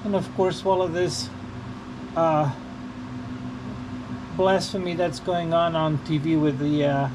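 A man from Portugal, chatting casually in a halting, rambling, unscripted vlog style while he works. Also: on the high side, little pitch movement. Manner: halting, rambling, repeats himself. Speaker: vlogger. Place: working on a car during an oil change. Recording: noisy, with vehicle and ambient hum.

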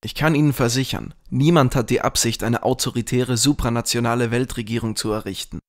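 Casting: man as glib, shady grill owner in an audio-drama parody, mid-pitched voice. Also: fairly even intonation, a little fast, close to an everyday voice. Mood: reassuring. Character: smooth-talking kebab grill owner, secretly conspiring henchman.

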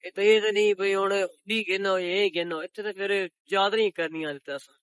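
A man's voice: sits high, fairly even intonation, normal speed, a bit bright, no echo. Young Punjabi-accented man vlogging outdoors. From Pakistan. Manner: chatty, addresses viewers as public. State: recovering sore throat. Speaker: village vlogger. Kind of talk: casual selfie vlog. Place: open countryside outdoors on a cloudy, windy day. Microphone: phone held at arm's length.

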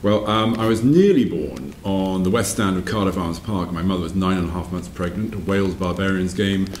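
A man of about sixty reminiscing in an interview, repeating phrases for emphasis between hesitant pauses. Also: deep voice, darkish tone, reverberant, a little hiss or hum. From south-west England. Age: around 60. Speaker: interviewee, whisky company managing director. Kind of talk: reflective interview storytelling. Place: wood-panelled room by a fireplace, indoors.

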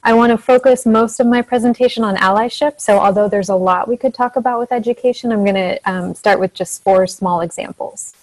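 A soft-spoken young woman, a teacher, giving an informal educational presentation over a video call. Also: on the high side, fairly even intonation, fairly quick, hardly any pauses, rough recording. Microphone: earphone mic on a video call.

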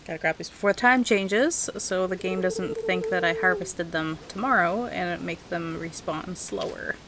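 A woman's voice: fairly low pitch, moderate pace, a bit bright, a little hiss or hum. A woman giving casual, chatty gameplay commentary as host, often talking aloud to the game's characters. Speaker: gaming video host.

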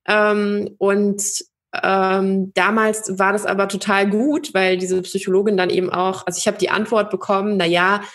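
A young woman from Germany telling her own story in an interview, thoughtful, with many pauses and fillers. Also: medium pitch, flat delivery, a bit bright. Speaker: podcast guest. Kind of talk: personal interview.

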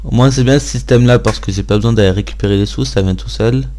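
A man's voice: mid-range voice, fast, dark tone. A man hosting a gaming video, giving casual gameplay commentary and thinking aloud in an informal way.

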